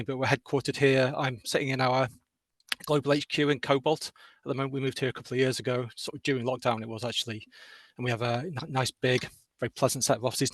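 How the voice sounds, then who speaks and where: A man speaking as a guest on a webinar from an office over a video call, talking quickly with many restarts and fillers. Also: medium pitch, fairly bright tone, no room sound. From not from the Northeast of England. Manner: fast, with frequent restarts and fillers. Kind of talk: webinar talk.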